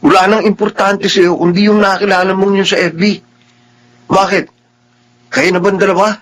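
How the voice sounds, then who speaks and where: A man's voice: high voice, flat delivery, moderate pace, fairly bright tone. An actor playing a jealous, pleading young male suitor in a Filipino radio drama. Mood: jealous, accusing. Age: young adult.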